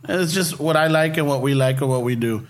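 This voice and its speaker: A man's voice: medium pitch, a little fast. A man co-hosting a casual podcast, slangy and sweary, mixing in Spanish. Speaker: podcast co-host. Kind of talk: casual chat.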